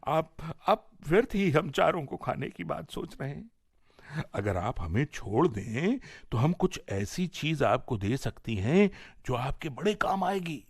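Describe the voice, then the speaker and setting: A man reads as timid, grateful fairies in a storybook folk tale. The delivery is slow and deferential, coaxing with emphasis, and the pitch swings expressively in a dry, close recording. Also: big pitch swings, vocal fry, breathy edge, no echo, full character voice. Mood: humble pleading. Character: group of timid grateful young fairies pleading humbly.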